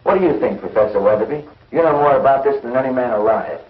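Actor as bold young space hero. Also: male voice, mid-range voice, lively intonation, fast talker, roomy sound, recorded from a distance, lightly acted. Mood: confident. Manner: confident, plain-spoken. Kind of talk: 1950s television serial acting. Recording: muffled 1950s film soundtrack.

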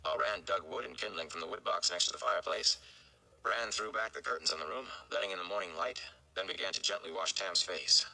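A man in his thirties reads fiction aloud dramatically. His voice is low and gravelly, with a very bright, forceful tone. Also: quick pace, poor audio. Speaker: amateur story reader. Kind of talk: dramatic audiobook-style narration. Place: indoors, room.

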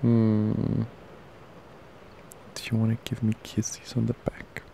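A man doing an intimate boyfriend ASMR roleplay close to the mic, murmuring softly, drowsily and affectionately. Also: completely flat delivery, slow pace, slight background noise. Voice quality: low, gravelly, creaky. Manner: soft, drowsy, affectionate murmuring, often breathy half-whisper. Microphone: close ASMR microphone.

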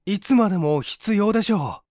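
Male narrator as polite, modest young man in an audiobook drama, higher voice, animated pitch. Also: moderate pace, no room sound, clean studio sound. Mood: tender, earnest.

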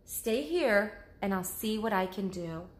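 A woman's voice: medium pitch, lively intonation, measured pace, reverberant, close to an everyday voice. A woman voicing a tired, patient mother bear who speaks in a weary, soothing way.

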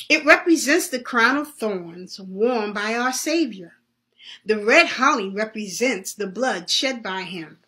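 Woman reading as slow, solemn, earnest Santa Claus. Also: on the high side, lively intonation, pushed and bright, heavily acted. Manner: slow, emphatic, preacherly.

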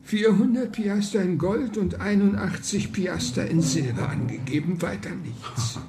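Creaky-voiced, higher-pitched servant. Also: male voice, unhurried, darkish tone, slight background noise. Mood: indignant. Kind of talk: radio drama acting.

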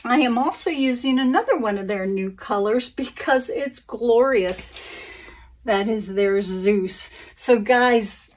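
Slow, gushing woman painter. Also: on the high side, distant mic. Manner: gushing and enthusiastic, calls viewers guys. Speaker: acrylic pour artist and channel host. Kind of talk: casual craft tutorial chat to viewers. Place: indoor studio, over a painting table.

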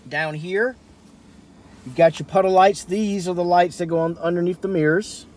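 A man's voice: high voice, steady tone, moderate pace. A middle-aged man giving a casual, folksy walkaround from inside a pickup truck cab. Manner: folksy, informal. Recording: camera audio with cabin noise.

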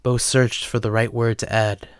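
A man's voice: mid-range voice, little pitch movement, normal speed, smooth, no echo, studio quality. A man narrating a scripted dramatic story as the narrator.

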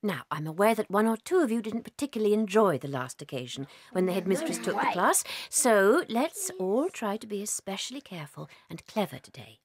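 An actress playing a gentle, timid young schoolteacher with a British accent, soft and confiding, in an audio drama. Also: female voice, mid-range voice, very animated, a little fast, hardly any pauses, noisy background, lightly acted. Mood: anxious, soothing.

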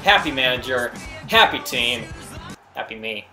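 A man's voice: medium pitch, animated, measured pace. Guy hyping himself up over a video game, slangy commentary, music playing behind him. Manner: exclamatory, self-hyping, slangy. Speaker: gaming video host. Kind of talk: excitable gameplay commentary.

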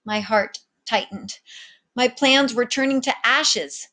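A woman's voice: a little high, takes it slowly, bright, forceful tone, voice pushed up. A woman voicing an anxious, bewildered young cabin boy in a storybook read-aloud, plain and uncertain. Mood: worried.

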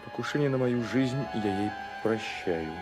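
An actor playing a resolute young musketeer, solemn and declamatory in a radio drama. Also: male voice, mid-range voice, expressive, unhurried, loud music behind the voice, lightly acted. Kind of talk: 1990s Russian radio drama acting.

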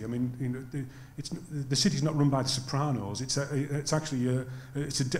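Older male panelist, stammering restarts, mid pitch, roomy hall sound. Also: darkish tone. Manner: halting, many restarts, then earnest. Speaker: panel speaker. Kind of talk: lecture Q&A answer. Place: lecture theatre. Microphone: handheld mic. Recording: echoey lecture hall.

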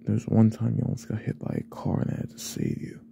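A man playing a possessive, obsessive young classmate in an ASMR roleplay, speaking in a hesitant, breathy half-whisper. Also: fairly low pitch, level tone, quick pace, a little hiss or hum. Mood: protective, possessive. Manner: hesitant, hushed, probing.

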